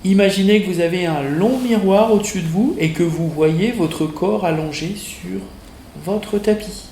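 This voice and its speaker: A man with a fairly high voice guides a relaxation session very slowly. He gives short, measured instructions with pauses between them. The recording is roomy and echoing. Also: a little mellow, slight background noise. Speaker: yoga nidra instructor. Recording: roomy echo, music behind the voice.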